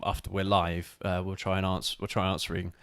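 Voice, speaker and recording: A man's voice: deep voice, level tone, brisk, a bit bright, clean tone, no room sound. A man co-hosting a casual motorcycle live stream with his wife, greeting viewers by name.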